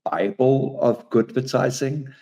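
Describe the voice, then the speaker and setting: A man's voice: medium pitch, slow, warm tone, muffled recording. A man in his forties from Denmark with a Danish accent, a guest author speaking in an interview, often hesitating and repeating words as he thinks. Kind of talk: conversational interview.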